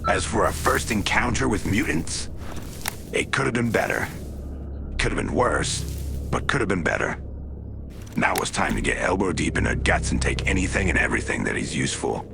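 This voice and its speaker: A man voicing a gruff rookie stalker who narrates in a wry, deadpan way for a video game. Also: low-pitched, normal speed, heavily acted. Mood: wry, brooding. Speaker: player character and narrator. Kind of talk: cinematic game voiceover, first-person narration. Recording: loud music under the voice. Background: loud music.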